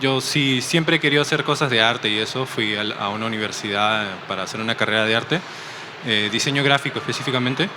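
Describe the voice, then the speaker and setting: A man from Peru with a Peruvian Spanish accent, a comic artist guest talking softly and thoughtfully in a casual interview. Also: medium pitch, a little fast. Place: busy convention hall. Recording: noisy convention floor. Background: crowd chatter of a convention.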